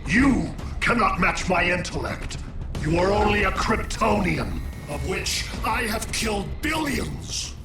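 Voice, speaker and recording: A haughty, echoing alien conqueror. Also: male voice, sits high, sing-song, unhurried, heavily acted. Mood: haughty, domineering. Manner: haughty, menacing, formal. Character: cold, arrogant alien conqueror with a towering intellect. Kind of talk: video game cutscene acting. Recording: echoing, under loud background music.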